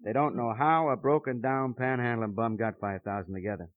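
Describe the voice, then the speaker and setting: Man playing a gruff, wry American police detective. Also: medium pitch, fairly quick, lightly acted. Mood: wry reporting. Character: Detective Muggavan, gruff, wry, plain-spoken male cop. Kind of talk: hard-boiled old-time radio acting. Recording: muffled vintage radio recording.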